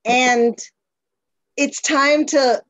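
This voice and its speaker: Slow-talking woman host, dry dull audio. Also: high-pitched, fairly even intonation, fairly bright tone, no room sound. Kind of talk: hosting an online talk.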